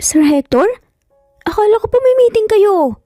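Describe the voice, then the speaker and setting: A woman's voice: very high voice, animated, normal speed, dark tone, dry recording, studio quality, soft background music, pitched above the performer's own voice. A woman voicing a feisty, easily flustered young single-mother nanny, sassy yet polite, in a narrated story. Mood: surprised.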